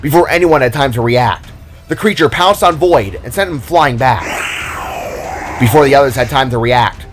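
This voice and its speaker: Man narrating scripted fantasy action, dramatic and animated, mid-range voice over faint music. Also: mid-range voice. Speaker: author and narrator.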